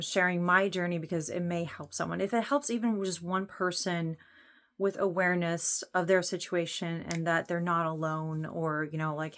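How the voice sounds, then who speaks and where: Lower-pitched woman talking candidly. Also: fairly bright tone. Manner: earnest, rambling, informal. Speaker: vlogger introducing own channel. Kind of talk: casual, candid talk to camera.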